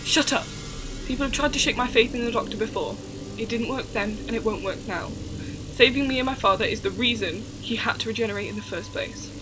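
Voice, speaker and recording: A woman playing a loyal, defiant but shaken young companion in an audio drama, earnest and halting. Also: a little high, noisy background, lightly acted.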